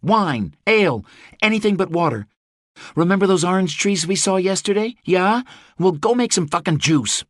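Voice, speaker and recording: A woman's voice: on the low side, animated, normal speed, no echo, studio quality, voice pushed up. A male narrator voicing a fierce young woman who leads mercenaries under siege, blunt and swearing freely. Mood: defiant, weary. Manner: sharp-tongued, swearing, blunt.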